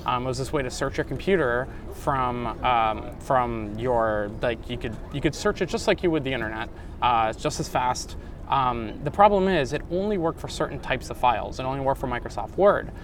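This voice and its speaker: A man's voice: medium pitch, moderate pace, a little hiss or hum. A man in his thirties from Canada, a company founder telling his story casually with lots of "like" and "um". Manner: casual, many fillers and likes. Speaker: founder and CEO guest. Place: conference venue.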